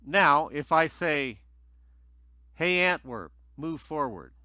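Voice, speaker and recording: A man's voice: a little high, expressive, slow pace, sharp tone, dry recording, clean studio sound. A man narrating a scripted technical tutorial in a methodical, explanatory way as its presenter.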